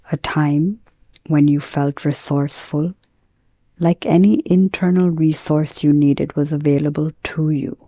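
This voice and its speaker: A woman teaching guided meditation, speaking in a calm, gentle, measured way with pauses between phrases. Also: low-pitched, no echo.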